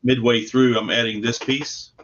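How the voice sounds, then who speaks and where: A man's voice: medium pitch, flat delivery, normal speed, fairly bright tone. A man chatting casually as an interview guest, earnest and plainspoken, explaining his comic book project on a video call. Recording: dull, lo-fi video call audio.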